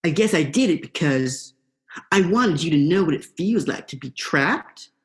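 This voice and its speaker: A woman's voice: sits low, expressive, moderate pace. A young woman in a student radio play, playing a laid-back, vengeful male eel with a casual, slangy drawl. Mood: sardonic, menacing. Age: young adult.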